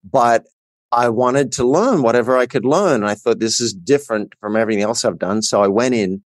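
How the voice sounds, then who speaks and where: A man's voice: medium pitch, fairly quick, no echo, clean studio sound. A middle-aged man with an Australian accent, telling his personal story earnestly and emphatically as a coach guesting on a podcast.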